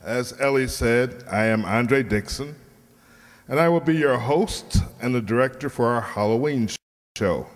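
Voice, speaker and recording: A man formally and deliberately reading a prepared announcement to an audience as director and host. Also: mid-range voice. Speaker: director and host of a radio play group. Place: indoor hall, live stage event. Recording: reverberant hall.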